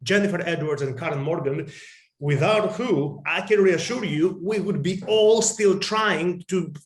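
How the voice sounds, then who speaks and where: A man with a Greek accent wraps up an online conference in a joking, playful way. His voice sits high for a man and carries a roomy echo over a video call. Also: unhurried. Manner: witty, playful, joking asides. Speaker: conference co-chair. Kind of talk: closing remarks at an online conference.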